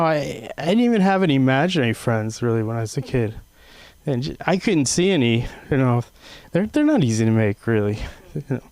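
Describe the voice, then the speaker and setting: A man's voice: mid-range voice, a little mellow, dry recording. A man doing open mic stand-up, rambling and self-deprecating with many fillers. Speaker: stand-up comic. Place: comedy club stage.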